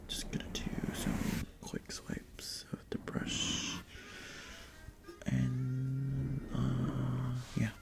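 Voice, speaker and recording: Slow rambling ASMR whisperer. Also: medium pitch, completely flat delivery, loud music behind the voice. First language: Tagalog. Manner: rambling, chatty, self-interrupting whisper with sudden breathy exclamations. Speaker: ASMR creator. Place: bedroom indoors.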